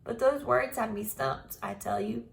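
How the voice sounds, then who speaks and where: A woman teacher giving an expressive storybook read-aloud, speaking directly to young pupils. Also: a little high, measured pace, gravelly. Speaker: primary school teacher.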